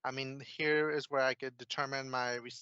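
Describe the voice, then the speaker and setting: Male software consultant, informal explainer, steady even intonation, dry close sound. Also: mid-range voice, moderate pace, smooth, no echo, dull audio. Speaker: software consultant, demo presenter. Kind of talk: casual screen-share software walkthrough.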